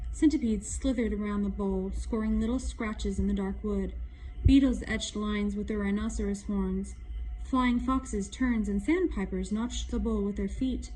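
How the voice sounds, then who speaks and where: Mellow-voiced woman reading a children's story. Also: medium pitch, a little hiss or hum, rough recording. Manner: pauses to ask explanatory questions. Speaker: storybook reader. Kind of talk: read-aloud children's story with asides to listeners.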